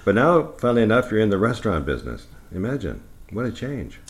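A man interviewing a guest in a relaxed, friendly way, joking along as he asks questions. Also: fairly low pitch, fast. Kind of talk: casual radio-style interview.

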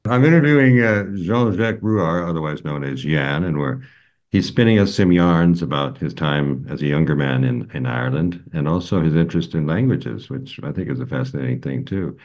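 A man's voice: very deep voice, normal speed, darkish tone. A man interviewing a guest, encouraging and chuckling along. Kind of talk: radio interview. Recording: muffled lo-fi sound.

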